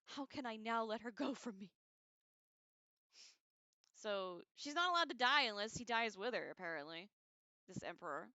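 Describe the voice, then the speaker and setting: Bright-voiced woman hosting a casual read-along stream. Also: on the high side, normal speed, pauses often, no room sound, highs cut off. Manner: expressive, informal, slangy, giggly, exclaiming. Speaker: livestream host reading a book aloud with commentary. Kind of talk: casual livestream read-along with chatty asides.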